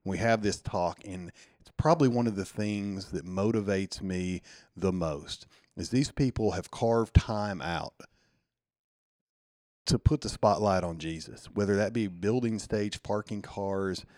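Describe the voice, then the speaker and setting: A man's voice: on the low side, measured pace, a little mellow, no room sound, studio quality. A man with a slight lisp, talking casually and earnestly as he promotes an event he helps organize.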